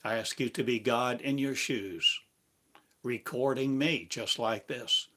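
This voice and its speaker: A man giving a free-form spiritual sermon, chanting in drawn-out, incantatory phrases for a live stream. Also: mid-range voice, pushed and bright. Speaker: preacher. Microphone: live stream device.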